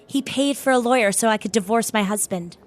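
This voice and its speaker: A woman's voice: on the high side, brisk, soft background music, natural voice. A woman playing a guarded, dignified lady in an audio drama, speaking in a composed, formal and reserved way. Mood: earnest. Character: guarded, curt, dignified divorced working woman.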